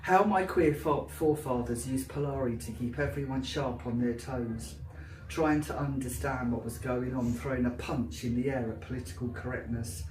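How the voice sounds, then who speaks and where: A man's voice: mid-range voice, fairly even intonation, normal speed, darkish tone. A man with a South London Cockney accent giving a live poetry reading, with a chatty, filler-heavy introduction and a rhythmic, emphatic recitation. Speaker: poet. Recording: roomy, distant, noisy live venue.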